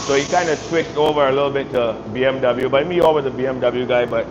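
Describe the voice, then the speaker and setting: A man's voice: medium pitch, fairly even intonation, fast talker, dull audio, noisy background. A man from Barbados with a Barbadian accent, chatting casually on a live stream while doing body work in an auto shop. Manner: laid-back, repeats "man" and "you know what I mean".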